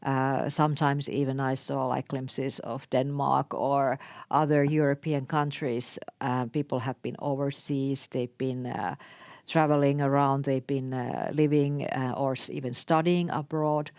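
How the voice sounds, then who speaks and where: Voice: mid-range voice, flat delivery, unhurried, darkish tone, smooth, no room sound. An interviewer in a conversation, listening attentively and giving frequent murmured agreement.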